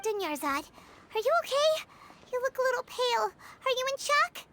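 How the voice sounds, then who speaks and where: Tiny protective girl companion: very high, childlike, animated game voice. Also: moderate pace, very noisy. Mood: concerned. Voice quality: squeaky, childlike. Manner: excitable, exclamatory. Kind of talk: anime game voice acting. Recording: background music over game dialogue.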